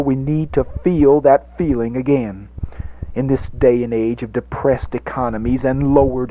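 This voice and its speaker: A man playing a theatrical, pompous evangelist reverend who preaches a parody radio sermon in oratorical swells and hushed drops. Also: mid-range voice, natural voice. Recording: dull lo-fi 1980s radio recording.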